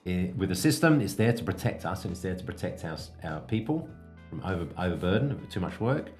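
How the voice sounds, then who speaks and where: A man hosting a business coaching podcast, explaining things in a measured, instructive way. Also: deep voice, very animated, darkish tone, soft background music.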